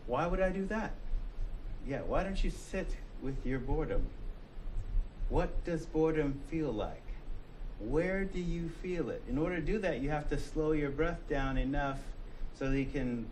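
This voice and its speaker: An American man teaching a college class, his voice sitting at a middle pitch. He speaks in a loose, conversational way, tossing out rhetorical questions and slipping into the inner voices of the people in his examples. A faint hiss sits under the classroom recording. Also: lively intonation, a little slow, pauses often, a little mellow, poor audio. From United States. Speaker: college instructor. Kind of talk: classroom lecture.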